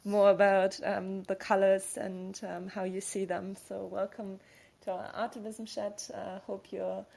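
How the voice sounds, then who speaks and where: A woman moderating an online chat greets her guest over a video call. She is friendly and a bit hesitant, with a lot of giggling. Her mid-pitched voice is dark and gravelly. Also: flat delivery, a little slow.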